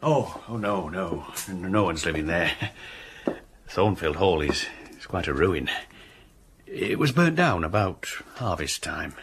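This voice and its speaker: A deep-voiced gossipy innkeeper. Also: male voice, animated, unhurried, a bit bright, heavily acted. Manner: hesitant, chatty, respectful. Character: rustic country innkeeper, gossipy and deferential. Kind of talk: radio drama dialogue.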